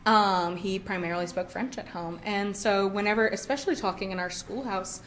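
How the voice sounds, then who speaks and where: Young woman, lively museum guide. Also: mid-range voice, moderate pace, noisy background. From Cow Island, Louisiana. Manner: lively, with frequent fillers and laughs. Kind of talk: interview answers. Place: indoors at a heritage village, on location.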